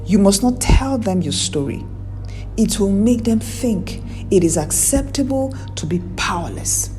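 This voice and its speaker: A scornful village woman from a folktale, acted by a woman storyteller. She is stern and disapproving, speaking in a mellow timbre with lively swings of pitch. Faint music sits behind her. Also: unhurried, natural voice. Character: scornful, judgmental village women, elders among them.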